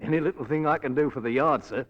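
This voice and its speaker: An actor playing a chatty, deferential police underling in a 1940s radio drama. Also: male voice, fairly high pitch, fast, natural voice. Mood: eager, doubtful. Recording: muffled old radio recording.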